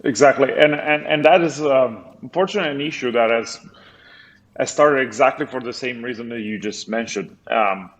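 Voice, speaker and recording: A man's voice: mid-range voice, normal speed. A man with an Italian accent, a company founder who is a guest on a podcast, speaking thoughtfully with many hesitations over a remote call. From Italy. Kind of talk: casual interview chat.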